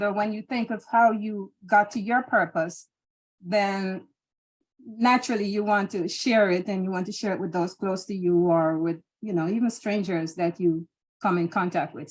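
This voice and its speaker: A middle-aged woman from Jamaica speaks with a Jamaican accent in a relaxed interview. She answers thoughtfully, pausing and restarting as she gathers her words. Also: medium pitch, low-quality recording. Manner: thoughtful, hesitant, many restarts and fillers. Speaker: interview guest.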